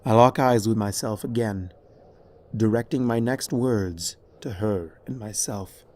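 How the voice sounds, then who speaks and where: A man reading dramatic fiction as narrator in a somber, measured way. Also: on the low side, darkish tone, a little hiss or hum. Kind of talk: dramatic scripted story narration.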